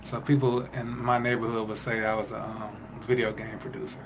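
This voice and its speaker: A man from Detroit in his thirties, a music producer answering interview questions in a halting way, with many fillers and restarts. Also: lowish voice, flat delivery. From Detroit, Michigan. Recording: noisy ambience behind voice.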